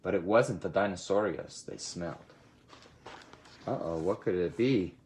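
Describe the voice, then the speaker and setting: A person reading a children's picture book aloud in an animated way, with expressive asides to young listeners. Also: low voice, a little slow, slight background noise. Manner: expressive, asks listeners questions and comments in asides. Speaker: storybook reader.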